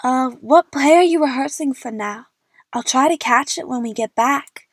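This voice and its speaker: A woman's voice: high-pitched, normal speed, dry recording, studio quality. A young woman playing an eager, chatty movie fan who narrates her adventure in the first person for an audio drama. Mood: gushing, stalling.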